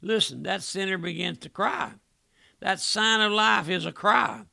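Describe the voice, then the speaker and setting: A middle-aged man preaching a fiery revival sermon in a high, piercing voice with heavy gravel. He presses his points hard, then sinks into a hush whenever he speaks directly to his listener. The sound is dry and close, with no room around it. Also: a little slow, dry recording. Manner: impassioned, repeats "my friend" with a dropped, hushed voice.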